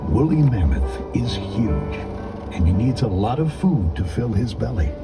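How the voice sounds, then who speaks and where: A male audiobook narrator reads a children's story with a very lively, varied pitch. His delivery is scripted, deliberate and expressive. Music plays behind his voice.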